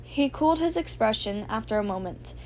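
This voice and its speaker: A woman reading fan fiction aloud as an amateur narrator, in an expressive storytelling style. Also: on the high side, a little fast. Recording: faint background noise.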